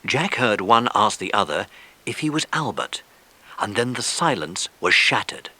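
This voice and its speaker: Male narrator, scripted children's audiobook, animated, crisp bright tone. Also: fairly low pitch, a little slow, rough recording.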